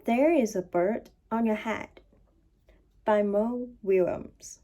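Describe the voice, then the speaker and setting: A woman's voice: mid-range voice, takes it slowly, mellow, a little hiss or hum. A woman who teaches nursery school, reading a picture book aloud for young children.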